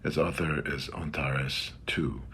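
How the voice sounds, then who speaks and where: A man's voice: rumbling low, steady tone, slow, a bit bright. A man giving a scripted reading of a mock-scholarly literary essay. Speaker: reader of a literary text. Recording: faint background noise.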